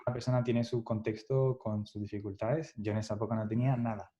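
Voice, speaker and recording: Mellow-voiced man, thoughtful. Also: fairly low pitch, fairly even intonation, fast talker. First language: Spanish. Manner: reflective, restarts phrases often, laughs lightly. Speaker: guest developer. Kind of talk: casual podcast interview. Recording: dull lo-fi podcast audio.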